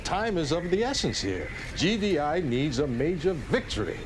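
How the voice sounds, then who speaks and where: An actor playing a smooth, self-assured, power-hungry political director in a dramatic video game cutscene, speaking emphatically. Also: male voice, fairly high pitch, sing-song, normal speed, background noise. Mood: insistent.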